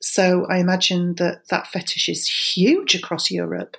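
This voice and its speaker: A woman's voice: lowish voice, steady tone, a little slow, gravelly, fairly bright tone, slightly rough. A woman hosting a podcast, talking casually and explaining at length to her guest. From Germany. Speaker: podcast host. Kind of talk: casual conversational interview.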